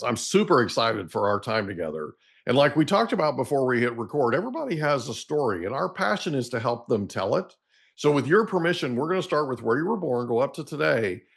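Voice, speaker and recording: A man's voice: mid-range voice, fairly bright tone. A middle-aged American man from Oklahoma hosting an interview podcast in a casual, chatty, folksy way that wanders into anecdotes. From Oklahoma, United States. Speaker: podcast host.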